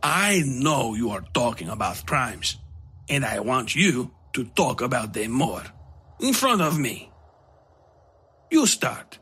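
A man's voice: medium pitch, sing-song, slightly rough, exaggerated voice. Man playing a menacing knifeman. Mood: taunting, demanding. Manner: deliberate, formal phrasing with quiet menace. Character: freakishly tall, menacing Castilian knife-wielding man, coolly taunting. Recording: music under the voice.

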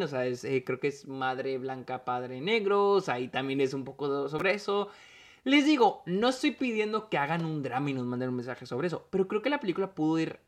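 Mexican guy rambling thru a movie review, lots of restarts, english words mixed in. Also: on the high side. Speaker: podcast film reviewer. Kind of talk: casual solo film review.

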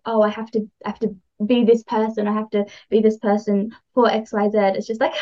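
A woman's voice: fairly high pitch, warm tone, lo-fi sound. A woman chatting casually as she explains astrology as a podcast guest, informal, enthusiastic and encouraging.

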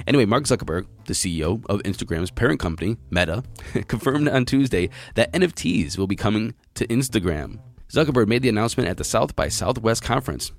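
An American man hosting a news podcast, with a slightly raspy voice lowered by illness, reading stories and adding chatty, wry asides on a close, compressed mic. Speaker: podcast host. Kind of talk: news reading with casual commentary. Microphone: close podcast mic, compressed and equalized. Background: music.

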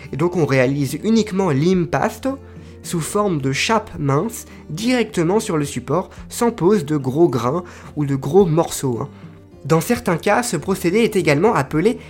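Chatty, hesitant male podcast host. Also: fairly high pitch. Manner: chatty, explains carefully with frequent hesitations. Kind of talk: solo informative podcast monologue.

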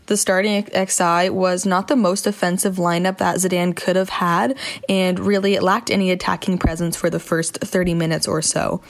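Female football journalist, lower pitch, articulate prepared analysis. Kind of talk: football analysis interview answers.

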